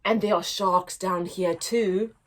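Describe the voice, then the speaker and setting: A woman's voice: medium pitch, fairly even intonation, takes it slowly, pitched below the performer's own voice. A woman voicing a spirited young boy in a storybook, a blunt, exclamatory pirate player fed up with questions. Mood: friendly.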